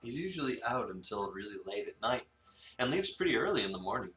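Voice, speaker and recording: A polite, easygoing cafe handyman from a visual novel, voiced by a man. His voice is slightly low and bright, caught at a distance in an echoey room. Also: very animated, fast talker. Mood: mild, apologetic.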